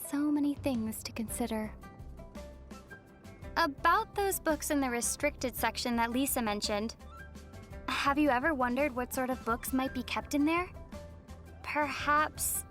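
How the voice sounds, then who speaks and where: Young female otherworldly traveler for an anime game: high, gentle, musing, faint music behind. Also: a little slow, pauses often. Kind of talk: scripted anime game dialogue.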